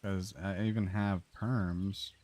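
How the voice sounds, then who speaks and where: Low-pitched man casually streaming a game. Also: fairly even intonation, slow pace, a little mellow. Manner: informal, sweary, muttering to himself while playing. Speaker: live streamer playing a video game. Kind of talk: casual gaming livestream chat.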